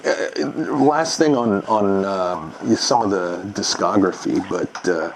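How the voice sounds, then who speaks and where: A man's voice: sits low, slow. A man hosting an interview, asking eager fan questions and answering with short acknowledgements. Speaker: interview host. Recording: noisy line.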